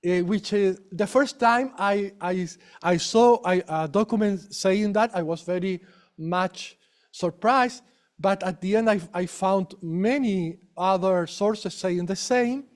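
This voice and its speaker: A man presents research at an academic conference. He speaks haltingly, restarting his phrases and tagging sentences with little questions to the room. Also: high-pitched. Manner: hesitant, many restarts and tag questions. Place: lecture room.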